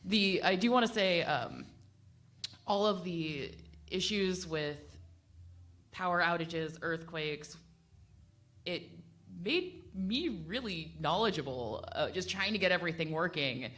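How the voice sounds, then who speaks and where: A woman's voice: lowish voice, expressive, slow pace, fairly bright tone. A woman talking casually as a radio panelist, rambling and correcting herself with offhand asides. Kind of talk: spontaneous, casual panel talk. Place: live panel discussion at a venue.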